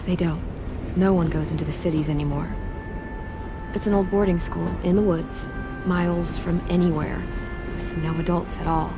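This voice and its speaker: A woman playing an earnest, vulnerable but resilient American teenage girl in a game, speaking softly. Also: lowish voice, steady tone, moderate pace, pauses often, over music, natural voice. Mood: earnest, reassuring. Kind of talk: game voice acting, emotional dramatic dialogue. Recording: game audio with background noise.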